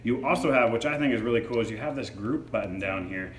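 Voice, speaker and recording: A man's voice: medium pitch, quick pace. A man reviewing a product in a casual, hands-on demo, explaining features informally. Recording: echoey room with faint background noise.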